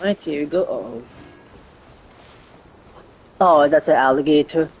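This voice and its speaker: A man giving casual, excitable, sweary commentary as he streams a video game. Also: a little high, a little slow, slight background noise, low-quality recording.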